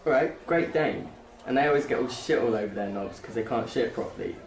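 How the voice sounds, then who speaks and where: A man's voice: medium pitch, a little fast, vocal fry, lightly acted. An actor playing a cocky, crude teenage boy in a radio play, goading and laddish. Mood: goading, amused. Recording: echoey room.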